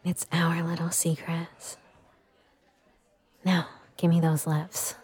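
Woman acting as playful adult girlfriend, intimate audio roleplay, unhurried, breathy, soft mellow tone, teasing. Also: on the low side, monotone, exaggerated voice. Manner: breathy, slow, teasing, often dropping into whispers.

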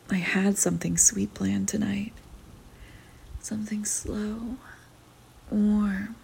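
A dominant, teasing young woman, voiced by a woman, murmurs in a breathy whisper for an ASMR roleplay. Her tone is dark and mellow, with a gravelly fry at the edges. She takes her time, issuing each command slowly. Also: medium pitch, exaggerated voice.

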